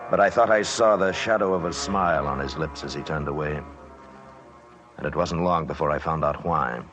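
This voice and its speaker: An actor playing a steady, decisive expedition leader in a 1950s radio adventure, businesslike and authoritative as he acts and narrates. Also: extremely low pitch, moderate pace, music in the background. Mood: grim narration. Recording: noisy 1950s radio recording.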